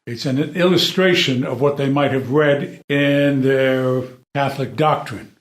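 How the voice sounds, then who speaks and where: A man with a mid-pitched voice explains his view in a group discussion. His phrases are short and measured, and the room gives his voice a clear echo. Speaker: actor in a play reading. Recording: roomy echo around the voice.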